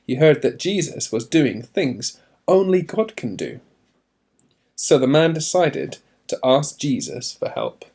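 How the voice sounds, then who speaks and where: A man reading a children's storybook aloud in an expressive narrating style, with deliberate emphasis. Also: fairly high pitch.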